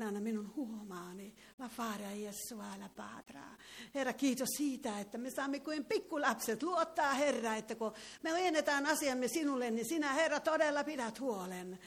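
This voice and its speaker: A woman leading a fervent charismatic prayer and prophecy in a meeting hall, breaking into tongues between phrases. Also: on the high side. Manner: fervent, prays with tongues interjected. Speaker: preacher.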